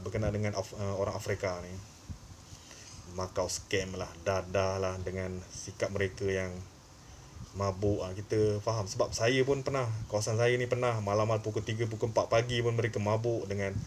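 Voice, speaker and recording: A man's voice: lowish voice, a little hiss or hum. A man with a Malaysian accent giving informal, opinionated commentary in an emphatic, repetitive way, with frequent short filler tags. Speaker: online commentary channel host.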